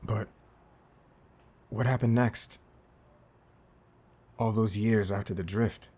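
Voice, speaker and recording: An actor playing an aged, humbled former pirate captain in an audio drama, husky and halting as he searches his memory. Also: fairly low pitch, fairly even intonation, mellow. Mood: wondering. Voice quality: husky, breathy. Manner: slow, halting, questioning. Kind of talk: cinematic audio drama acting.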